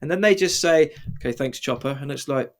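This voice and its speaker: A man's voice: fairly high pitch, expressive, brisk. A British man chatting casually as a podcast guest, telling self-deprecating anecdotes in an informal way. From Britain. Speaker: podcast guest, professional mountain biker. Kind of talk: casual interview chat.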